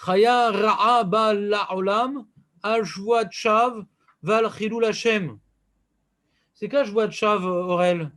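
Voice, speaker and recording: High-pitched male teacher running a casual class, playful and teasing. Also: very slow. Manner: joking, banters with students, animated. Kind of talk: informal Torah class with questions to students.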